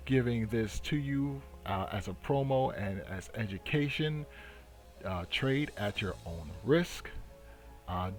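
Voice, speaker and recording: A man hosting a trading channel, giving unscripted market commentary in a halting, deliberate way. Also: mid-range voice.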